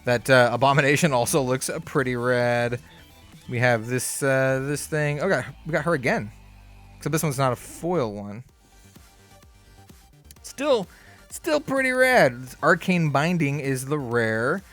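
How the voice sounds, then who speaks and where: A man hosting a card game channel, chatting casually through an unboxing, easygoing and upbeat with lots of fillers, his voice a bit hoarse. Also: medium pitch, animated, a little slow, fairly bright tone, soft background music. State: slightly hoarse.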